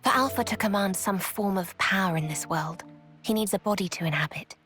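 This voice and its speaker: Solemn otherworldly young woman, calmly grave game actress. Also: mid-range voice, fairly quick, soft background music, close to an everyday voice. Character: solemn otherworldly young woman, the calm conscience of a godlike being. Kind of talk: video game cutscene acting.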